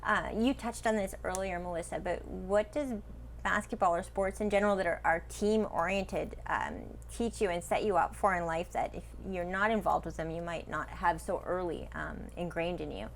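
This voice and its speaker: A woman's voice: lowish voice, moderate pace, a little hiss or hum. A woman interviewer putting an open, conversational question to her guests.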